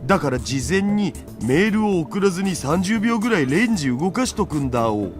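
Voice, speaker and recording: A man's voice: on the high side, very animated, rapid-fire, faint music behind. A man voicing a nerdy, laid-back young hacker with slangy, quirky casual speech in an anime visual novel. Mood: explaining.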